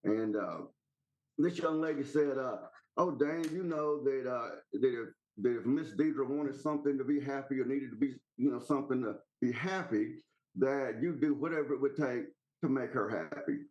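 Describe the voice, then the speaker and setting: A Southern-accented man who calls in to a podcast and holds forth in a casual, rambling way. His voice sits at an ordinary middle pitch. He talks bluntly, in plain folksy country phrases. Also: moderate pace, a little mellow, lo-fi sound. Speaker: podcast caller. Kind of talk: casual opinionated chat.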